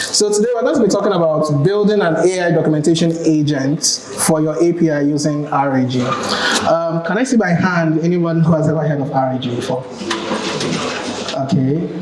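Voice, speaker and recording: A young man with a Ghanaian accent giving a relaxed, chatty tech conference talk, with many ums and restarts. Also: fairly high pitch. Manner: relaxed, chatty, many ums and self-corrections, laughs at own jokes. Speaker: conference speaker. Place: large conference hall with audience. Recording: roomy hall with crowd.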